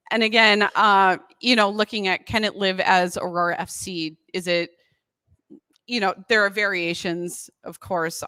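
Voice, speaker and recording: Brief: woman designer, lower, bright voice, dry close sound, casual with ums. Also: dry recording. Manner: frequent ums, casual and upbeat. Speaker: brand designer presenting. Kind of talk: presentation on a live stream.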